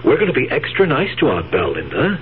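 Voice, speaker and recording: An actor playing a firm, greedy, scheming husband in a radio drama, insistent and calculating. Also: male voice, medium pitch, wildly swooping pitch, fairly quick, slightly rough, heavily acted. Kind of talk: 1970s radio drama acting. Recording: tinny radio broadcast, faint hum.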